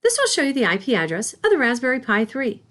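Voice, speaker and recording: A woman's voice: high-pitched, wildly swooping pitch, fast, fairly bright tone. A woman instructor reading a scripted tutorial in a careful, instructional way.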